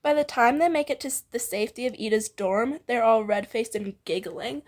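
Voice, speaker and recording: Woman, high voice, casual story read-aloud, giggly, stumbles over lines. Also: brisk. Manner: giggly, breaks into laughter, stumbles over the text. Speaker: fan fiction co-reader.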